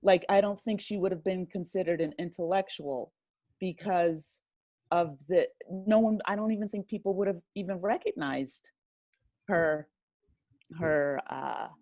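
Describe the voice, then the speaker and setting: A woman with a lowish voice speaks in a relaxed conversational interview. She explains in a thoughtful, halting way, working through her points piece by piece. The sound is dry and close, with very little room in it. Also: dry recording. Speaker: podcast guest, writer and director.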